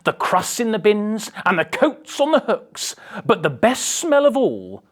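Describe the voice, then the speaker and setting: A woman's voice: medium pitch, sing-song, unhurried. A woman reading a children's picture book aloud with theatrical, dramatic emphasis. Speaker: storybook reader.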